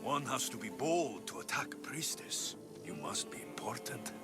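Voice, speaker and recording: A man playing a gruff, blunt young Greek mercenary in a video game, terse and impatient with dry delivery. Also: medium pitch, expressive, normal speed, lightly acted. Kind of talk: video game cutscene acting. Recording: music under the voice.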